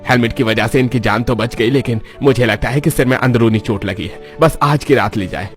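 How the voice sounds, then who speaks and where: A man playing a kindly elderly village priest in a dramatized moral story, speaking in a fatherly, grave and expressive way. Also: medium pitch, fairly quick, faint music behind, lightly acted. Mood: grave concern.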